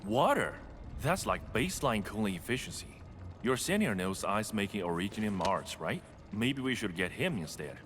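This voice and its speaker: A man playing a weary, exasperated lab researcher in a video game, dryly complaining, with loud machinery ambience around him. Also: on the low side, very animated, moderate pace, slight background noise.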